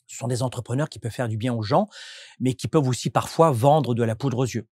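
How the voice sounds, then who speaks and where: Husky French man explaining thoughtfully. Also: mid-range voice, fast, dark tone, no room sound, studio quality. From France. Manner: reflective, explanatory, frequent restarts. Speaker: coach and entrepreneur, guest. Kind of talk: conversational interview answers.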